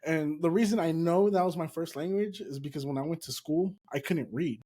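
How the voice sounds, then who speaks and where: A man's voice: a little high, fairly quick, mellow. A man from California whose first language is Spanish, a comedian guest telling stories in casual chat and swearing casually. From California, USA.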